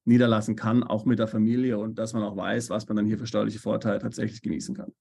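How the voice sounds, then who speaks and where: A man's voice: fairly low pitch, level tone, fast, vocal fry, dark tone, breathy edge. A man advising on taxes in a podcast interview, explaining steadily with frequent fillers and checking "ja?" questions. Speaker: tax advisor. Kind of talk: expert podcast interview answers. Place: based in Italy.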